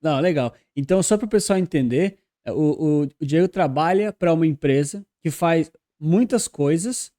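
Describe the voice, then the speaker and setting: Host: man, mellow tone and a somewhat raised pitch, loose conversational interviewer. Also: animated, moderate pace, few pauses. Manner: chatty, loose with fillers like "né" and "cara". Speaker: podcast host. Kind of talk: casual podcast interview.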